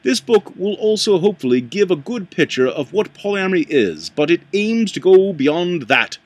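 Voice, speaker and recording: A man reading a book aloud in a halting way, stumbling and rereading lines, with a put-on accent. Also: high voice, very animated, no echo. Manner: puts on an accent while reading. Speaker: amateur reader.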